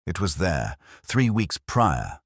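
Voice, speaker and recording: A man narrating a scripted first-person detective story in a measured, literary, brooding manner. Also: low voice, very animated, vocal fry, breathy edge, dry recording, studio quality.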